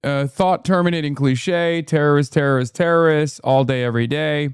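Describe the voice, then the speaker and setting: A man's voice: on the high side, clean tone, no room sound, clean studio sound. A man, a streamer giving combative, sarcastic political commentary while reacting to a clip. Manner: combative, sarcastic, rapid rebuttals.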